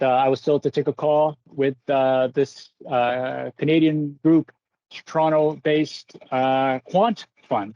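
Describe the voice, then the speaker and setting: A man from Brazil, a portfolio manager, talking as a guest in a measured, articulate way over a video call. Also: mid-range voice, mellow, no echo, highs cut off. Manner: measured, articulate, a few fillers.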